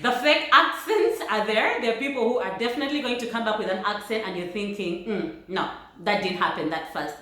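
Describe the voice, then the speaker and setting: A woman from Uganda with a Ugandan accent, talking through points in a casual vlog in a measured, thoughtful way. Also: medium pitch, expressive, bright, forceful tone. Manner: thoughtful, measured, laughs lightly between points. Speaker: vlogger. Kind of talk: casual vlog talk to camera. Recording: very echoey room.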